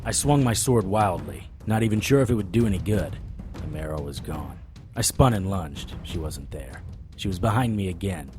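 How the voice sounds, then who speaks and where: A man playing a weary, wry monster fighter, narrating an audio drama in the first person with a husky voice. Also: deep voice, expressive, moderate pace, darkish tone, soft background music. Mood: grim, haunted.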